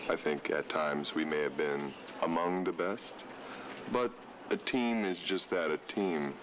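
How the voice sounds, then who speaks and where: An actor playing a calm, self-assured superhero giving deliberate, matter-of-fact interview answers in a film bonus recording. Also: male voice, low-pitched. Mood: measured, self-assured. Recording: muffled, noisy old interview tape.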